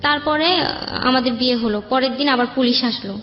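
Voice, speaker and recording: A young woman with a Bangladeshi accent and a somewhat high voice narrates her own life colloquially. The sound is slightly dull and clearly roomy, with soft music behind her. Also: brisk. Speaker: guest telling her life story. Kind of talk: personal storytelling in an interview.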